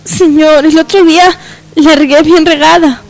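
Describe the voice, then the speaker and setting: Very high-voiced eager young woman, acted. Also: steady tone, moderate pace, soft background music, low-quality recording. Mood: polite, eager. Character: young female graduate seeking a job, eager and naive. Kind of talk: radio soap opera acting.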